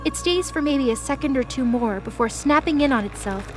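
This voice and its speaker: A woman's voice: sits high, expressive, a little fast, music in the background. A woman reading scripted, dramatic story narration as the narrator of an audio drama.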